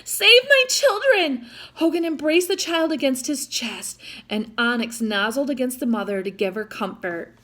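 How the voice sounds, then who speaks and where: A woman with a fairly high voice reads a children's storybook aloud. Her delivery is expressive, and she breaks off for chatty little asides to young listeners. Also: normal speed. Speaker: storytime reader.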